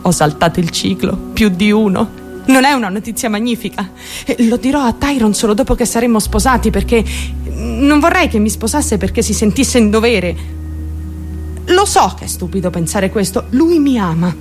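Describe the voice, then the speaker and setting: Woman acting as a naive lovestruck teen girl, kinda wounded, confiding radio play monologue, dramatic pauses, music behind her. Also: a little high, expressive, a little mellow, lightly acted. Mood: giddy, secretive. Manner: confiding, directly addressing listeners, dramatic pauses. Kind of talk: intimate theatrical monologue for radio. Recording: music under the voice.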